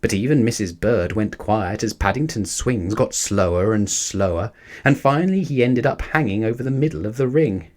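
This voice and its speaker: A man reading a children's picture book aloud as an expressive storyteller for young listeners. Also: on the low side, moderate pace.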